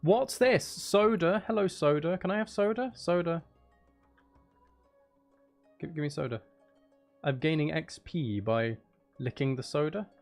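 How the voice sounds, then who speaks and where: A man's voice: on the high side, unhurried, creaky, long pauses, darkish tone, faint music behind. A man who streams games, chatting casually and rambling in a self-deprecating way. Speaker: game streamer and audiobook narrator. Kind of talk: casual livestream chat and game commentary.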